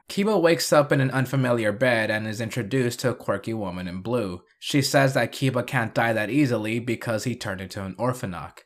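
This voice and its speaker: A man's voice: mid-range voice, fairly bright tone. Man, recap narrator, scripted delivery, middle-range voice, wry dry asides. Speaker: video host and narrator.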